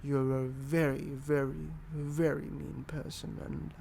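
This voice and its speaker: A young man from Indonesia with an altered British accent, talking softly and rambling in a boyfriend ASMR roleplay. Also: fairly high pitch, little pitch movement, takes it slowly, creaky, a little hiss or hum. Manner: soft, rambling, self-deprecating.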